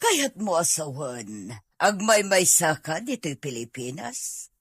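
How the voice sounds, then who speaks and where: A haughty middle-aged mother in a radio-style drama, played by a woman. She has an Ilocano Filipino accent and a bright, forceful edge. She talks slowly, with open disdain and accusation, in a dry, close recording. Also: on the low side, big pitch swings, slightly rough, no echo, exaggerated voice. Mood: probing. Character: haughty, scornful middle-aged mother guarding her son.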